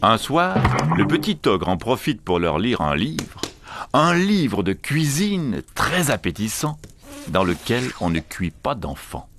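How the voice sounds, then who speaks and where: A man telling a children's storybook tale in an expressive, theatrical way. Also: lowish voice, slow pace. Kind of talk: animated children's storybook narration. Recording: music behind the voice. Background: music.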